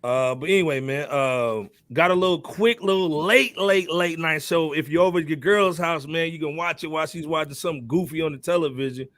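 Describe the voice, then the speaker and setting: Easygoing male podcast host, higher voice, dry close mic. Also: brisk, hardly any pauses, a bit bright, dry recording. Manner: laid-back, says "man" after most phrases. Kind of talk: casual podcast chat.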